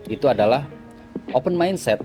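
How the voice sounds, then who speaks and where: Relaxed trading mentor with music behind. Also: male voice, medium pitch, normal speed. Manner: relaxed, explains with everyday examples, mixes in English words. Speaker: forex trading mentor, guest. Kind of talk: casual podcast interview. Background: background music.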